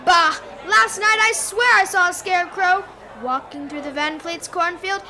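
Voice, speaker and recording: A woman's voice: very high voice, normal speed, pushed and bright, faint music behind, full character voice. Piercing, spooked village gossip, played by a girl. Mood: alarmed. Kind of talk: school radio play acting.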